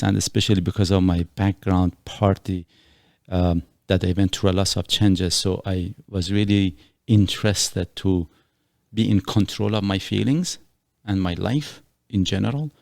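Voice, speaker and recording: A man's voice: low-pitched, normal speed, creaky, darkish tone, no room sound, clean studio sound. A middle-aged man from Iran hosting a casual podcast, explaining things earnestly in a rambling way with many fillers. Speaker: podcast host.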